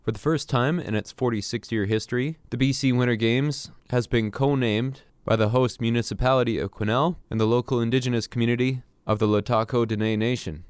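A man reporting a news item and interviewing a guest in a measured, formal way. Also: mid-range voice, fairly even intonation, smooth, no echo. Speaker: radio reporter.

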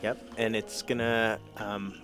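A man's voice: fairly low pitch, level tone, slow pace, over music. A man, a guest on a community radio show, answering in short, hesitant bursts full of fillers. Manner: hesitant, soft-spoken, brief answers with fillers. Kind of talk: casual radio interview. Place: local community radio studio.

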